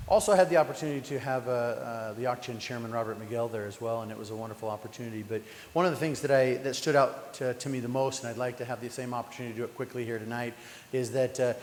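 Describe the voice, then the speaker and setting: A man at a city council meeting speaks informally, stumbling over and restarting his sentences in a friendly tone. His voice sits at an ordinary pitch. It rings through a large, echoey chamber with a long tail of reverb and a faint background hum. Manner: halting, many restarts, friendly. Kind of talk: informal remarks at a public meeting.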